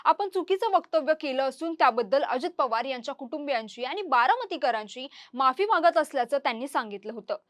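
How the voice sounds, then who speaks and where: A woman's voice: sits high, expressive, a little fast, few pauses, dry recording. A woman presents the news. She reads scripted narration, and the sound is dry with almost no room in it.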